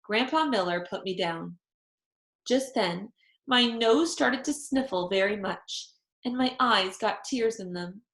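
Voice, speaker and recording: A woman reading a children's story aloud in expressive narration, phrasing it emphatically for young listeners. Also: mid-range voice, takes it slowly, reverberant.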